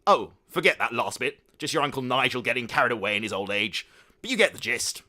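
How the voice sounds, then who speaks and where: A man's voice: mid-range voice, sing-song, fast, sharp tone, pitched above the performer's own voice. A man voicing a creepy, leering old uncle.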